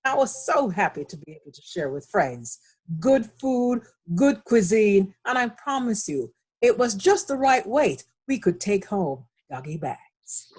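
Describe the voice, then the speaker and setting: A woman with a Jamaican accent giving an impromptu speech on an online call, slow and savoring in her descriptions. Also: mid-range voice, sing-song, a little mellow, breathy edge. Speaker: Toastmasters speaker. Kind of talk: impromptu table topics speech. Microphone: online video call.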